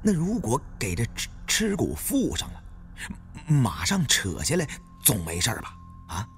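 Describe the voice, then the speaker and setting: A man voicing a rough, agitated young tomb robber with a heavy stutter in an audiobook. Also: medium pitch, wildly swooping pitch, measured pace, full character voice. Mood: nervous. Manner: heavy stutter, repeating first syllables. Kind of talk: audiobook character acting.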